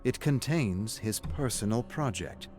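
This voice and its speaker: A man narrating a scripted video game story as a suave, sinister storyteller in measured, theatrical phrases. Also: on the low side, expressive, a little mellow. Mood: tense, menacing. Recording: music behind the narration. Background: music.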